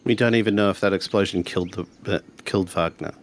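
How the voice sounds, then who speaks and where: A skeptical, laid-back schoolboy, played by a man in a tabletop mystery game. The voice is fairly low, with a dry and hesitant delivery. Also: quick pace, close to an everyday voice. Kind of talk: improvised in-character tabletop roleplay.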